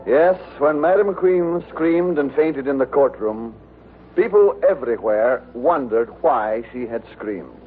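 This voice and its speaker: A man's voice: medium pitch, lively intonation, unhurried. A man, narrating a story in scripted 1950s radio style. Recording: tinny old radio broadcast, faint noise.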